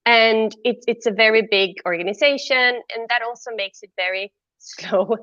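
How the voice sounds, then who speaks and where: A woman's voice: on the high side, normal speed, fairly bright tone, no echo, lo-fi sound. A woman from Sweden with a Swedish accent, a guest answering interview questions in an open, candid way and laughing easily. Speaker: guest, entrepreneur.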